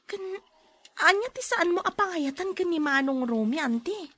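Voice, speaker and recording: Woman as very high-pitched teasing niece. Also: expressive, moderate pace, a bit bright. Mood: pleading. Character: teasing, chatty young niece, playful matchmaker to her aunt. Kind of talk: Philippine radio drama acting.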